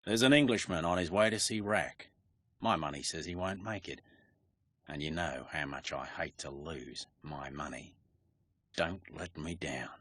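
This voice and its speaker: A man's voice: very deep voice, measured pace, fairly bright tone, no room sound, full character voice. An actor playing a sly, mock-courteous male underworld fixer in a video game cutscene, with an unctuous way of talking. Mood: quiet menace.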